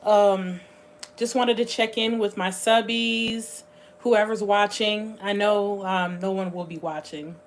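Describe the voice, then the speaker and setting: A woman hosting a casual livestream, chatting in a rambling, meandering way, her voice slightly hoarse and going scratchy. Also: mid-range voice, steady tone. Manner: rambling, chatty, thinks aloud with frequent pauses. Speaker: livestream host.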